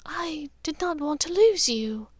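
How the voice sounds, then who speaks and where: A woman's voice: sits high, animated, unhurried, dry recording, pitched above the performer's own voice. A woman voicing a refined, wistful middle-aged witch in an audiobook, speaking precisely and expressively. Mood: regretful, tearful. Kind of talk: audiobook character dialogue.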